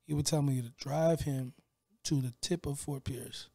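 A young man with a low raspy voice, a rapper guest talking casually in a slangy, rambling way. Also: fairly even intonation, normal speed, warm tone, no echo. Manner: slangy, rambling, lots of filler. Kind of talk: casual podcast chat.

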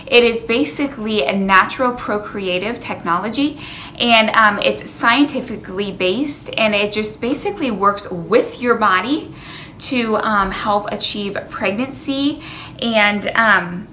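A woman's voice: mid-range voice, bright, forceful tone, slight background noise. A woman in her thirties talking earnestly to a camera in a personal vlog, sharing her own story with many fillers. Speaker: wellness coach. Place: indoor room, plain wall behind. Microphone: camera in front, medium framing.

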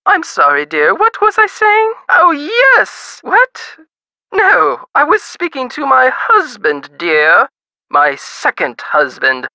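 An actress voicing a haughty, fussy rich society matron in a video game, with theatrical, imperious grand diction. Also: female voice, sits high, wildly swooping pitch, slow, bright, forceful tone, dry recording, heavily acted. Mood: flustered.

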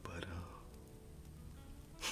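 A middle-aged man doing an ASMR roleplay, whispering and soft-spoken, reminiscing unhurriedly with quiet chuckles. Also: very slow. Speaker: ASMR creator. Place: dim indoor room. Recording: soft music in the background. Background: music.

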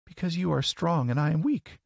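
A man's voice: fairly high pitch, expressive, fairly quick, gravelly, no echo, pitched above the performer's own voice. A man voicing an earnest, helpless little girl in a storybook, plaintive and pleading. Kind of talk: storybook character voice.

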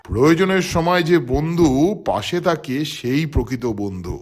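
A man with a husky voice narrating a scripted story for children. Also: a little high, sing-song, normal speed, faint music behind.

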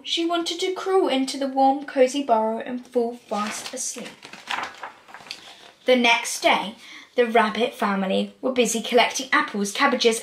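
A woman's voice: sits high, a bit bright. A young girl reading a children's picture book aloud with careful expression and the odd stumble. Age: child, about 10 to 13. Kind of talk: read-aloud storytelling for children. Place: small room at home, indoors. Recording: echoey small room.

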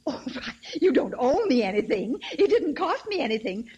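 Scatterbrained, kindly clerk in a radio sitcom. High voice, very animated, flustered chatter. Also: female voice, moderate pace, breathy edge, full character voice. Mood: cheerful, generous. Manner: gushing, giggly, flustered. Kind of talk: 1940s radio comedy acting.

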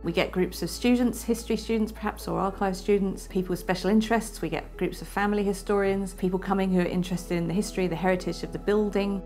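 A woman's voice: medium pitch, steady tone, quick pace, few pauses, darkish tone. A woman archivist explaining her work in a matter-of-fact documentary interview. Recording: clear music underneath.

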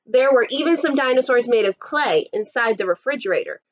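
High-voiced woman reading to young children. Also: animated, a little fast, dull audio. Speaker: kindergarten teacher. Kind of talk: read-aloud storybook narration for young children. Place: small indoor room set up as a classroom.

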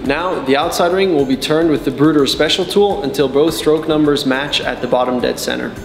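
A man in his twenties giving scripted instructional narration as a technical trainer, explaining each step in a measured way. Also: fairly high pitch, gravelly. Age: 20s. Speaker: technical support and customer trainer. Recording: echoey, reverberant sound with background music. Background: background music.

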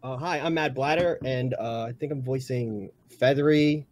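A man's voice: mid-range voice, moderate pace, darkish tone. A man who does amateur voice acting, chatting casually on a livestream. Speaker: amateur voice actor in a cast.

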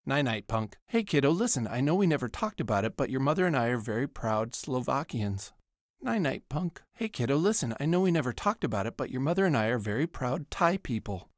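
An actor playing a put-upon, scatterbrained suburban dad in a cartoon video game, talking in a casual, rambling way. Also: male voice, medium pitch, no room sound, studio quality, lightly acted. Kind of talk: scripted video game voice lines.

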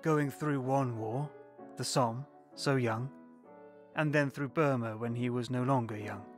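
A man playing a grown son who slowly and reflectively recalls his soldier father in a radio play, with faint music behind. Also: medium pitch, close to an everyday voice. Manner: slow, reflective.

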